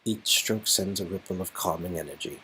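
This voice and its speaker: A man's voice: low voice, normal speed, bright, forceful tone, slight background noise, rough recording. A man narrating a romantic game story in scripted second-person prose.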